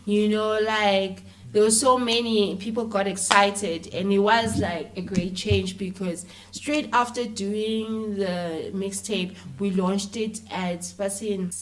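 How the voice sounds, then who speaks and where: A woman's voice: mid-range voice, steady tone, takes it slowly, hardly any pauses, poor audio. A South African woman talking casually and animatedly as an interview guest, often dropping in "like" and "you know". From Mpumalanga, South Africa. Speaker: interview guest, actress, musician and filmmaker.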